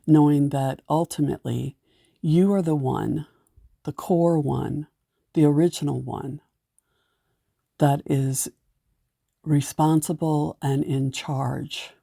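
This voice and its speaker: A woman leading a guided meditation in a gentle, soothing way, giving unhurried instructions. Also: low voice, steady tone, vocal fry, no room sound, studio quality.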